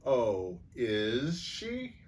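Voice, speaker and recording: A man's voice: mid-range voice, wildly swooping pitch, extremely slow, a little mellow, recorded from a distance, slight background noise, exaggerated voice. A man doing a gray, terrible werewolf. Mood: menacing. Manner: cold, terrible, commanding. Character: gray terrible male werewolf, cold and menacing.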